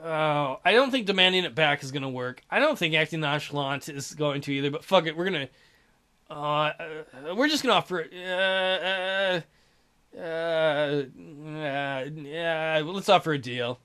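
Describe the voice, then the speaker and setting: A man's voice: a little high, a little slow, sharp tone, clear, resonant tone. A young man giving casual, joking let's-play commentary, swearing and hesitating with fillers.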